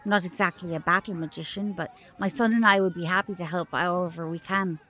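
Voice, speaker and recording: A woman acting a protective magician mother in an audio drama, polite and measured, with a suspicious edge. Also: fairly low pitch, steady tone, sharp tone. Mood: gracious, probing.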